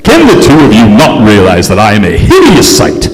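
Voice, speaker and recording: An actor playing a pompous, melodramatic, centuries-old aristocratic English ghost in a radio play performed on stage. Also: male voice, on the low side, huge pitch swings, moderate pace, crowd noise. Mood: wounded, despairing. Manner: grandiloquent, blustering, melodramatic. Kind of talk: old-time radio drama acting on stage. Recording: reverberant hall, live stage performance.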